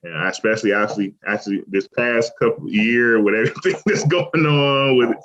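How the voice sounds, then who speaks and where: A man who owns a restaurant, talking in a relaxed, rambling way with lots of fillers as an interview guest, from Cleveland. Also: mid-range voice, brisk, a little hiss or hum. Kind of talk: casual interview talk. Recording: lo-fi muffled audio.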